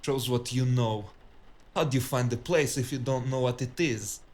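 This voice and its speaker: A man's voice: mid-range voice, moderate pace, a little mellow, close to an everyday voice. A male actor playing a scornful, guarded tough guy who refuses to back down, in a noir audio drama. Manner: contemptuous, guarded. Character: defiant, scornful male cyberpunk tough cornered at gunpoint. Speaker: voice actor playing the detective's opponent.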